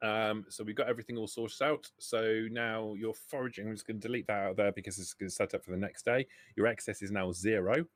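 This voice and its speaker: A man narrating a tabletop game as game master, haltingly, often repeating words as he thinks. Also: fairly low pitch, fairly even intonation, dry recording.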